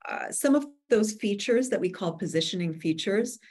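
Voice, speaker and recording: A woman in her forties speaking as an expert guest on a video-call webinar, explaining things in a measured, instructive way. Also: medium pitch, lively intonation, darkish tone. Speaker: guest expert, book publisher. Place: indoor room with bookshelves, on a video call. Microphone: video call microphone.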